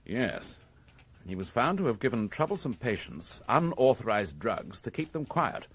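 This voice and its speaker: An actor playing a methodical, courteous British police inspector in a radio crime drama, speaking in a measured, formal and precise way. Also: male voice, medium pitch, very animated, a bit bright, husky. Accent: British English. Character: methodical, courteous male police inspector reporting his findings.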